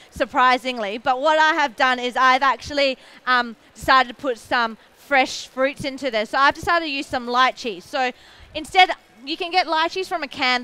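A woman's voice: high-pitched, bright, forceful tone, clear, resonant tone, no echo. A young woman with an Australian accent explaining a recipe step by step on a food show stage. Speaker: cooking demonstrator. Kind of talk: live cooking demo.

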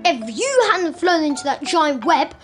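High-voiced, sulky cartoon thief. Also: female voice, very animated, a little slow, a bit bright, soft background music, full character voice. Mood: resentful. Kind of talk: children's cartoon voice acting.

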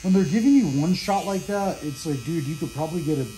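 A man's voice: fairly high pitch, lively intonation, fast talker, dark tone, reverberant, a little hiss or hum, poor audio. A man working as a barber, chatting casually in an encouraging, slangy way while cutting hair. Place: indoor barbershop.

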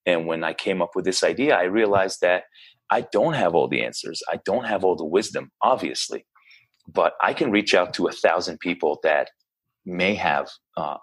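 A man's voice: sits low, slightly rough. A man from Israel in his thirties, a basketball coach talking earnestly and casually as a podcast guest. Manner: earnest, chatty, frequent restarts and fillers. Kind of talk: casual interview chat.

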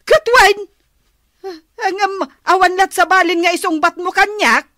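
An actress with an Ilocano accent playing a nagging, exasperated wife in a radio comedy drama, scolding deliberately. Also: female voice, extremely high pitch, fairly even intonation, sharp tone, no echo, studio quality, exaggerated voice.

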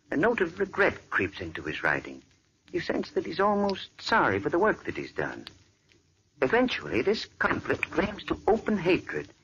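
A man's voice: fairly low pitch, pushed and bright, a little hiss or hum, exaggerated voice. A man playing an earnest male doctor explaining a case, in 1940s radio acting style, on an old muffled radio recording.

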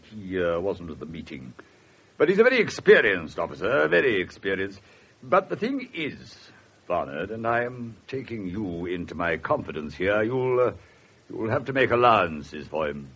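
An actor with an English accent playing a pompous, courteous, middle-aged police superintendent in a radio drama, formal and somewhat awkward. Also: male voice, on the low side, sing-song, moderate pace, a bit bright, husky, heavily acted. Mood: awkward, confiding. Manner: formal, hesitant when uneasy. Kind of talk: 1970s radio drama acting. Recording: slightly muffled 1970s radio broadcast.

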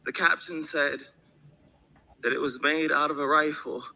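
A man performing spoken word poetry on a dark stage into a stand mic, with a rhythmic, emphatic slam delivery and repeated refrains. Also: a little high, steady tone, measured pace, vocal fry, poor audio. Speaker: slam poet.

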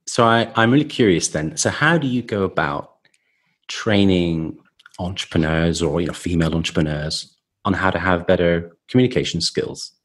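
A man's voice: low-pitched, normal speed. A man hosting a podcast, putting questions to his guest in conversational interview style.

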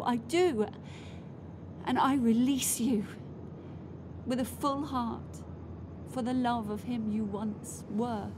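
An actress plays a young fiancée in an audio drama, earnest and wounded. Her voice is a little high and solemn, with clear rumble in the background. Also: natural voice. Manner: solemn, formal.

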